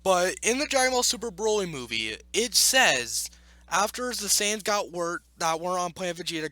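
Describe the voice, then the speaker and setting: A man's voice: high voice, sharp tone, no echo. A man giving opinionated, casual commentary as a video host, arguing emphatically and repeating his points. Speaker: video host reacting to an article. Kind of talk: opinionated casual commentary with read-aloud passages.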